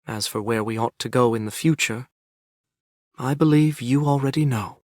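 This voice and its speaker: An actor playing a calm scholarly wanderer in a video game, speaking in a measured, formal, quietly hopeful way. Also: male voice, mid-range voice, a little hiss or hum, lightly acted. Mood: solemn hope. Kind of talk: game character voice acting.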